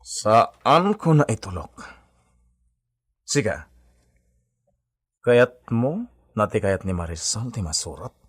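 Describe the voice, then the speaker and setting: An actor playing a scheming, swaggering brother in a melodramatic Philippine radio drama, with a taunting, exaggerated delivery. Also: male voice, fairly low pitch, sing-song, takes it slowly, long pauses, husky, full character voice. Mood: defiant. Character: theatrical, scheming twin brother with a swaggering temper.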